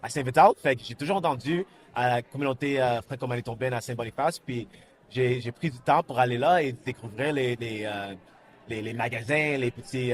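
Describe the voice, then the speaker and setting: Male actor, Canadian French accent, mid-pitched voice, informal interview talk with English words mixed in and repetitions. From Canada, born in Montreal and grew up in Winnipeg. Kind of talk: casual interview.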